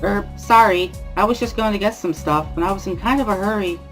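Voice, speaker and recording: Small, energetic schoolgirl played by a man, very high-pitched and flustered, apologetic, over background music. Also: poor audio. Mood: sheepish. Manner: flustered, rapid apologies and excuses. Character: energetic, apologetic teenage girl runner, small and cute.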